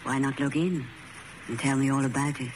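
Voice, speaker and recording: An actor playing a solicitous, politely insistent acquaintance in a radio drama. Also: male voice, medium pitch, fairly even intonation, a little fast, natural voice. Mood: solicitous, inviting. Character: persuasive, solicitous night-owl acquaintance. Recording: noisy old radio drama recording.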